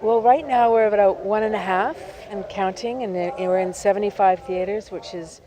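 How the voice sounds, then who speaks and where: A woman's voice: mid-range voice, normal speed, crowd noise. A woman producer, speaking upbeat in an interview and reeling off figures. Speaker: film producer. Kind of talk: interview at a film market.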